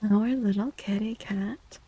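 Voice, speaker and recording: A woman streaming a soft-spoken ASMR tarot reading close to the mic, often slipping into a breathy whisper, with a playful, cutesy, affectionate manner. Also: medium pitch, fairly even intonation, measured pace, a little hiss or hum, low-quality recording. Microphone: close microphone.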